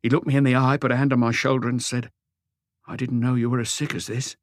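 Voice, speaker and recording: A man voicing an anguished, lonely, meek husband in an audiobook, pleading and rambling haltingly through a confession. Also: medium pitch, level tone, no room sound, studio quality, lightly acted. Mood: wounded, hopeless. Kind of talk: audiobook character dialogue.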